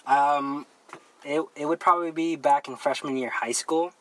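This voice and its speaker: A man's voice: fairly high pitch, steady tone, moderate pace, fairly bright tone, a little hiss or hum, rough recording. A young man from the Philippines, a guest photographer, chatting in a laid-back, rambling way with lots of fillers. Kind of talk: casual podcast chat.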